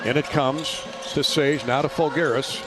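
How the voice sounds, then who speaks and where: A man doing live radio basketball play-by-play in clipped running phrases that rise to shouts on big plays. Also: a little high, steady tone, normal speed. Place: courtside in a basketball arena. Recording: noisy arena ambience, bouncing balls. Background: loud court noise, bouncing basketball.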